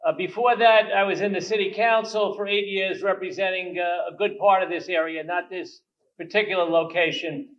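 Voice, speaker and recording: Older man, halting speech, heard from afar. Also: high-pitched, steady tone. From New York. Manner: halting, with many restarts and fillers. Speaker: state legislator. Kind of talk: speech at a community event. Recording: distant, muffled microphone.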